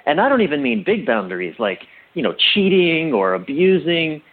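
Interview guest: middle-aged male, husky, reflective and halting, emphatic on key points, on band-limited audio. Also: on the high side, sing-song, narrow, tinny audio. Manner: halting, self-correcting, emphatic. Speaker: interview guest, relationship coach.

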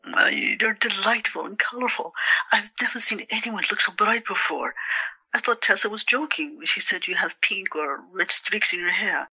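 A polite, curious woman played by an actress in an audio drama, visiting her sister. Her voice is very bright yet breathy and husky, at a middling pitch. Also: normal speed, full character voice, low-quality recording. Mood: amused, curious.

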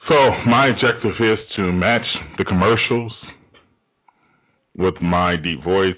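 Male host with a very deep voice, loose rambling delivery, thin phone-like recording. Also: takes it slowly. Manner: rambling, many fillers and restarts. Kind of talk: casual radio show talk. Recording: tinny phone-like audio.

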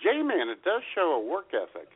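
Man co-hosting comedy radio, slightly high voice, animated, sardonic, phone-like sound. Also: moderate pace, husky. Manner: sardonic, mock-folksy asides. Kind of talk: casual comedy banter.

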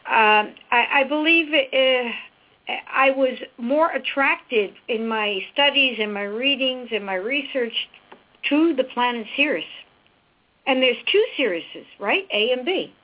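A woman's voice: high-pitched, lively intonation, slow, phone-quality sound. A woman host chatting and asking an interview guest questions in a conversational way.

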